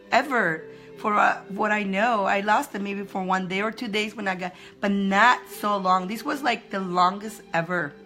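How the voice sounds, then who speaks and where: A woman's voice: mid-range voice, fairly bright tone. A woman hosting a casual live-stream card reading, chatty and upbeat. She greets viewers by name, laughs often and repeats phrases for emphasis.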